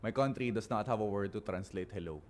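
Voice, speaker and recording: A man with a Filipino accent performing spoken word poetry as a guest, in deliberate, dramatic phrases with weighted pauses. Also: lowish voice. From Philippines.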